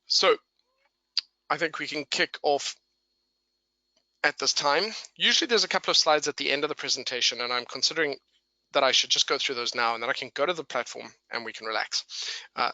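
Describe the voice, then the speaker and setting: A man's voice: mid-range voice, pushed and bright, dry recording, low-quality recording. A man with a mixed English and South African accent presenting a live webinar for beginners in a chatty, explanatory way, addressing listeners directly. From England and South Africa. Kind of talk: live instructional webinar, conversational.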